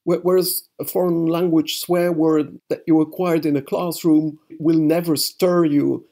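A man from Belgium, a linguistics professor, explaining research in a measured interview. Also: fairly high pitch, flat delivery, vocal fry, warm tone. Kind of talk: expert interview.

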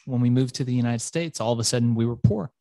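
A man from Iran, whose first language is Farsi, telling his life story as an interview guest in a swaggering, profane, animated way. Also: medium pitch, fast, no room sound, clean studio sound. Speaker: entrepreneur guest.

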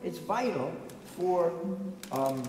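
A middle-aged male pastor with a raspy voice, giving a conversational sermon from a pulpit mic and asking the congregation questions. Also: fairly high pitch, lively intonation, takes it slowly, a little mellow, recorded from a distance, slight background noise. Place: large church, at a pulpit. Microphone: stand mic at the pulpit. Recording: echoey church heard from afar.

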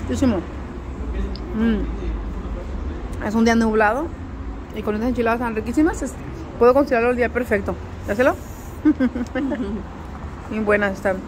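Mexican lady vlogging w friends, highish voice, salty slang, joking around, noisy street behind her. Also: unhurried, long pauses. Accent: Mexican Spanish. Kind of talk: casual vlog chat with friends. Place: outdoor covered restaurant patio by a street. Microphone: handheld phone. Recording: outdoor phone recording with traffic noise.

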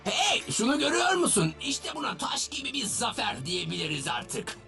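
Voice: mid-range voice, very animated, normal speed, creaky, full character voice. An actor voicing a cocky, boastful boy hero who turns into powerful aliens in a dubbed cartoon. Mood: gloating. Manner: brash, boastful, exclamatory. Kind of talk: animated cartoon dub acting. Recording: loud cartoon music behind.

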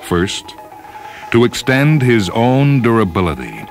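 A man reading a story as a dramatic narrator, with measured, solemn and deliberate phrasing. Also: medium pitch, very animated. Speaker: narrator reading short fiction. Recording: music under the voice. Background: orchestral piano music.

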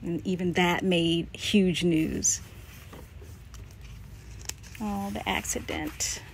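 A woman's voice: on the low side, drawn-out words, vocal fry. An American woman in her late forties giving a casual, chatty, nostalgic and self-deprecating show-and-tell commentary. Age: late 40s. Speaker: vlogger showing a personal scrapbook. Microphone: handheld phone. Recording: noisy handheld home phone recording.

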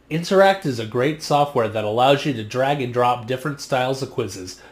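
A man in his thirties talks straight to camera in a mid-range voice, reading a prepared explainer in a steady, earnest way. He records in a small home studio on a condenser microphone, so the sound is close and clean. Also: mid-range voice. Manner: measured, earnest, read-from-script delivery. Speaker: internet marketing vlogger. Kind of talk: scripted explainer monologue. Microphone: studio condenser mic on stand, just off frame.

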